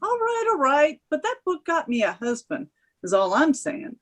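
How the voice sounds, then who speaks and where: A woman's voice: high-pitched, huge pitch swings, normal speed. An actress playing a bossy, teasing woman in her fifties at a screenplay table read. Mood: placating, bossy.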